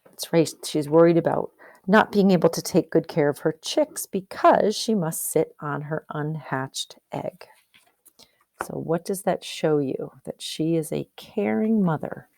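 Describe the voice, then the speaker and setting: A woman's voice: deep voice, a little slow, gravelly, darkish tone, dry recording, studio quality. A woman teacher reading a storybook aloud expressively, explaining and asking questions as if to young pupils. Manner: explanatory, questioning, checks understanding.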